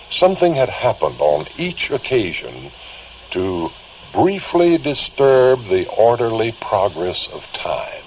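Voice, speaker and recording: Animated investigator, dull vintage recording. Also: male voice, on the low side. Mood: reflective, speculative. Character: earnest male amateur investigator recounting uncanny time anecdotes. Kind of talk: vintage radio drama narration. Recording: dull, slightly noisy vintage radio recording.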